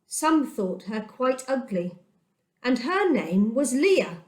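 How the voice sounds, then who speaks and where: A woman reading a children's Bible story aloud as a storyteller, with dramatic, deliberate delivery and emphatic pauses. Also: sits high, big pitch swings, reverberant.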